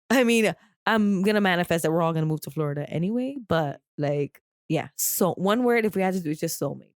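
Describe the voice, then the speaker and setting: A woman's voice: fairly low pitch, animated, normal speed, dry recording. A woman co-hosting a podcast, nasal from crying, talking candidly and in a rambling way about a close friendship. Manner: candid and rambling, with casual swearing. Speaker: podcast co-host. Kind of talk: casual heartfelt chat.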